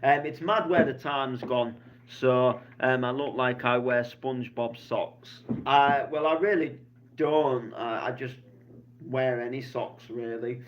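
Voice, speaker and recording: A man's voice: mid-range voice, slow pace, a little hiss or hum. A man, rambling casually through a weekend vlog with plenty of fillers and restarts. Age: 20s. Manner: chatty, meandering, many fillers and restarts. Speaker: DJ vlogger. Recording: slightly dull lo-fi sound.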